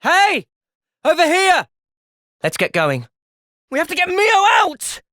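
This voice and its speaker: An actor playing an earnest, thoughtful young soldier in a video game, soft-spoken and sincere but resolute. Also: male voice, very high-pitched, wildly swooping pitch, slow pace, no echo, clean studio sound, full character voice. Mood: urgent, shouting. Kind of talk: video game cutscene acting.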